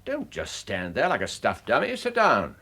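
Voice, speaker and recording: An actor playing a gruff, irascible older police chief in a radio drama, blustering and brusque. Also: male voice, mid-range voice, wildly swooping pitch, moderate pace, bright, forceful tone, exaggerated voice. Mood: blustering, exasperated.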